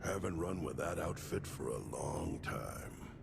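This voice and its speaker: An actor playing a gruff ex-soldier crime boss who speaks in a measured, threatening way, in a video game. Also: male voice, a bass voice, exaggerated voice. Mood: wary. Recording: game audio with steady noise.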